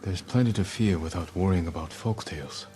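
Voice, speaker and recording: Actor as deep-voiced, stoic young samurai lord. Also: male voice, warm tone, faint music behind, close to an everyday voice. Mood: grave, steady. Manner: measured, terse, grave. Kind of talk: video game cutscene acting.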